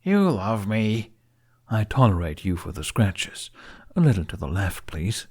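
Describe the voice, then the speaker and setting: A man's voice: lowish voice, very animated, unhurried, darkish tone, breathy edge, full character voice. A man voicing a grumpy, sarcastic, demanding male dragon in an audiobook, with imperious, drily bantering delivery. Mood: dry, teasing. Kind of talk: audiobook character acting.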